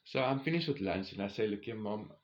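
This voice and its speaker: A man's voice: on the low side, animated, fast talker, a little hiss or hum. A man of about forty with a South African accent, telling a personal story in a casual, conversational way and addressing his listeners directly.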